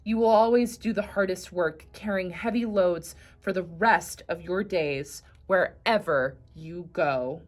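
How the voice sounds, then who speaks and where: A woman's voice: on the low side, measured pace, hardly any pauses. A woman voicing a furious male God who scolds sternly in a storybook reading.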